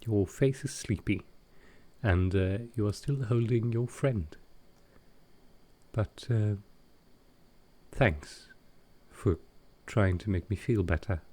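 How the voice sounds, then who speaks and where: Man acting a slow, gentle, awkward vampire. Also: on the low side. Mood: apologetic, caring. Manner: halting, hesitant, tender, soft-spoken. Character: gentle, awkward male vampire caring for a guest. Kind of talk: intimate comfort roleplay audio acting.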